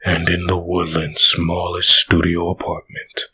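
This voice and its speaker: A man playing a solemn narrator of a comic dub, setting scenes in a measured, scripted way. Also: sits low, monotone, sharp tone, slight background noise, heavily acted.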